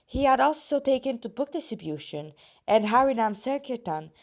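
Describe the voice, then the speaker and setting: A woman's voice: fairly high pitch, lively intonation, normal speed, gravelly, darkish tone. A woman with a slightly rusty voice reading her own story aloud, casually and with rambling asides, often breaking off mid-sentence.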